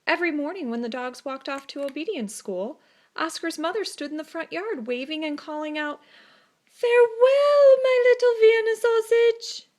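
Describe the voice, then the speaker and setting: A woman narrating a children's story expressively, with deliberate phrasing and dramatic pauses. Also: sits high, a bit bright. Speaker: storybook reader.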